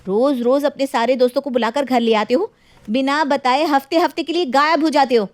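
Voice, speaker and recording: A woman's voice: high-pitched, fairly quick, no room sound, natural voice. A woman voicing a sensible, independent young wife who talks in a firm, scolding, assertive way in a story. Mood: exasperated, scolding.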